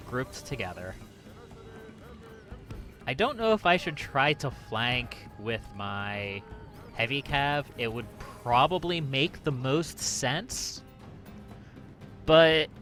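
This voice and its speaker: A man's voice: mid-range voice, fairly bright tone. A man giving casual let's play commentary on a video game, thinking aloud in a wry, resigned way. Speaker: gaming channel host.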